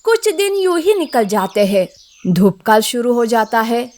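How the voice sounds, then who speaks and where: High-pitched, slow woman storyteller. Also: sing-song, darkish tone. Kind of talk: scripted children's story narration.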